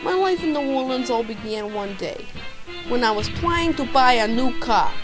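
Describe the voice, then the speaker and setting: Girl doing a cocky goofy private eye, high voice, swings all over, hammy radio play, music behind. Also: expressive, moderate pace, close to an everyday voice. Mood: self-important narrating. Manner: pompous, theatrical. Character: bumbling, self-important private detective named Danny. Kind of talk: hammy amateur radio drama detective narration.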